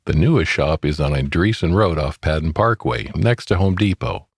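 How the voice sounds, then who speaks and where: A male radio announcer reads sponsor copy in a deep voice. The recording is dry and free of room sound. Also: expressive, normal speed, slightly rough, no room sound. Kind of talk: scripted sponsor message.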